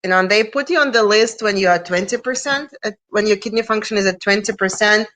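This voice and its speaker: Bright-voiced woman on a video call. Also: medium pitch, quick pace. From Russia. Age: 40s. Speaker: guest. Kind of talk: conversational interview. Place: home room, indoors. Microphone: video call webcam.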